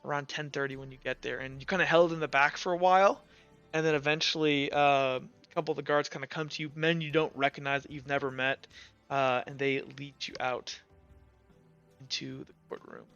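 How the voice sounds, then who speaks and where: A man's voice: medium pitch, level tone, fairly quick, a bit bright, smooth, muffled recording. A young man speaking casually as game master, improvising narration for a tabletop game. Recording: slightly boxy audio, music behind. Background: music.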